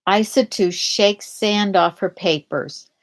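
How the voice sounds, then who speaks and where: A woman reads a children's storybook aloud at a slow, deliberate pace. Her voice sits at an average pitch, and she explains words and events simply for young listeners. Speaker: storybook reader. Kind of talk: read-aloud of a children's picture book with explanatory asides.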